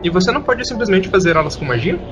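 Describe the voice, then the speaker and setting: A man's voice: high-pitched, big pitch swings, fast, dull audio, music in the background. A man with a Brazilian accent playing an earnest, inquisitive young orphan boy in an audio story. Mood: curious. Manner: frank, inquisitive. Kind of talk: audio drama acting.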